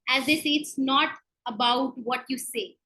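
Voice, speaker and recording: Female coach, high bright voice, emphatic instructional delivery with deliberate pauses. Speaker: public speaking coach giving a talk. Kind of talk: instructional presentation to an online group.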